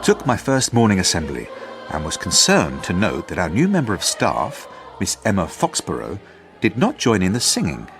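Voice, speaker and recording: A man's voice: deep voice, wildly swooping pitch, moderate pace, music in the background, exaggerated voice. A man acting an earnest, fussy British headmaster in a radio drama, formal and self-important as he narrates his journal. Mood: earnest, officious. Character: earnest, idealistic, fussy male school headmaster. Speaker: actor.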